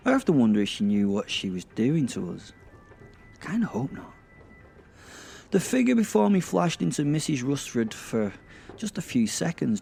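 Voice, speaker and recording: A man's voice: lowish voice, vocal fry, mellow, slight background noise. A British man narrating a first-person audio drama in a bawdy, hesitant, self-interrupting way.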